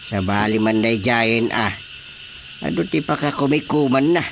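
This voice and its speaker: A man's voice: mid-range voice, expressive, a little slow, creaky, slight background noise. A man with an Ilocano accent playing an easygoing but cautious middle-aged village chairman in a comedy radio drama. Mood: amused.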